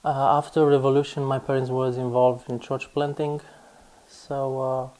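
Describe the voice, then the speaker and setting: A man with a Romanian accent calmly sharing his testimony. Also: medium pitch, normal speed. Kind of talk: personal testimony.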